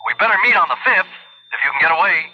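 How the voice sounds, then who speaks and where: An actor playing an eager, folksy middle-aged veteran and pilot with a husky voice, in a radio drama. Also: male voice, sits high, expressive, very fast. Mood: eager, jaunty. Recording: muffled old radio drama recording.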